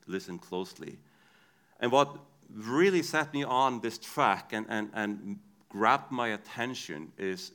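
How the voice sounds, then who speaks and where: A man's voice: fairly low pitch, fairly bright tone. A man with an Icelandic accent, a native Icelandic speaker, preaching a sermon in a conversational storytelling way with frequent restarts.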